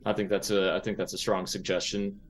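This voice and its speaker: Deep-voiced man playing a tabletop game. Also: little pitch movement, brisk, slight background noise. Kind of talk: casual improvised game chat.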